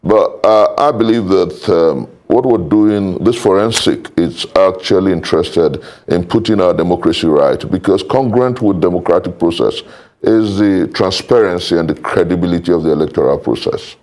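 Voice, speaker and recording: A Nigerian man speaking as a panel guest, giving political commentary in a deliberate, rhetorical way with formal wording. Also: low voice, darkish tone.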